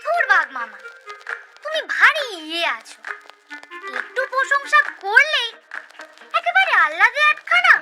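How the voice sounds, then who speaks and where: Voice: very high-pitched, very animated, measured pace, long pauses, heavily acted, rough recording. A performer voicing a cheeky, clever little goat kid in a cartoon, chatty and teasing in a sing-song way. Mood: cheeky, insistent. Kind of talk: cartoon character acting and narrating to viewers. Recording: loud music behind.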